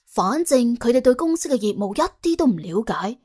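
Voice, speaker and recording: A woman's voice: on the high side, very animated, brisk, slightly rough. A woman with a Cantonese accent narrating an audiobook as dramatic, wry storytelling. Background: soft background music.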